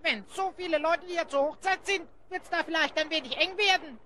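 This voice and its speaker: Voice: very high-pitched, brisk, pushed and bright, slight background noise. An actor playing a small, cheeky, always hungry elf goblin who grumbles in a children's radio play. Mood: curious, excitable.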